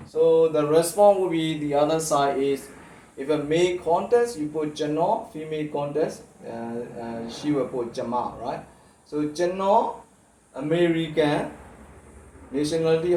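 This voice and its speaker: A man's voice: fairly high pitch, mellow. A man whose first language is Burmese, teaching it as a language lesson and explaining patiently, often checking in with "right?". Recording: echoey room, mic at a distance, faint noise.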